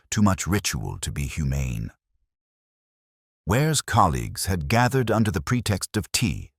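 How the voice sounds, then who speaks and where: Male narrator, extremely low voice with lively intonation, measured and formal delivery for literary detective fiction. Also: no room sound, studio quality. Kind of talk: scripted first-person mystery narration.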